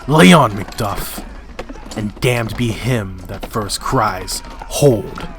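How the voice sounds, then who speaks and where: A man's voice: lowish voice, takes it slowly, noisy background. A man playing a defiant, doomed warrior king in an amateur Shakespearean dramatic reading. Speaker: amateur reader in library staff dramatic reading. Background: music and battle sound effects.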